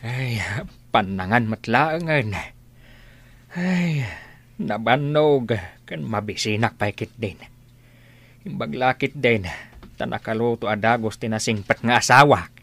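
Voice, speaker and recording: An actor playing a mild, anxious older village husband with a husky voice in a radio drama. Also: male voice, mid-range voice, slow pace, fairly bright tone, exaggerated voice. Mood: fond, weary.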